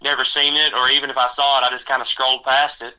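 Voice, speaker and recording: Bright-voiced young man. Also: medium pitch, flat delivery, poor audio. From Texas. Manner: halting, rambling with many fillers. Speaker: country singer guest. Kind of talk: casual interview chat.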